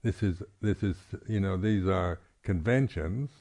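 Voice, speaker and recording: Low-voiced man giving a halting dharma talk. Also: a little mellow. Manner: halting, reflective, with repeated throat clearing. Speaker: Buddhist monk teacher. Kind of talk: unscripted dharma talk at a meditation retreat.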